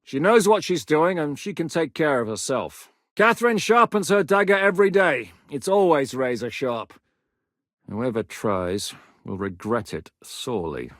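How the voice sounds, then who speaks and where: An actor playing a battle-hardened, commanding mercenary captain in a game, blunt and quick to swear. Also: male voice, a little high, lively intonation, a bit bright, no room sound, studio quality. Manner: gruff, blunt soldier's talk with frequent swearing. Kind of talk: game voice lines.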